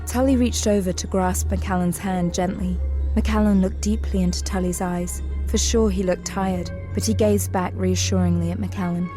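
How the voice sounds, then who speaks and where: A woman's voice: fairly low pitch, fairly even intonation, moderate pace, natural voice. Actress as female storyteller over prominent music. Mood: measured, dramatic. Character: female storyteller of a sci-fi adventure. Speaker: narrator. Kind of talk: scripted audio drama narration.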